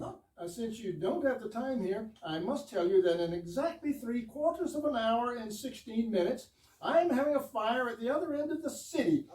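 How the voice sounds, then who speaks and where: A woman's voice: fairly high pitch, warm tone, close to an everyday voice. A woman playing an earnest, self-important fire chief in an amateur readers theater absurdist comedy, speaking formally and declaiming. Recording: roomy, distant microphone.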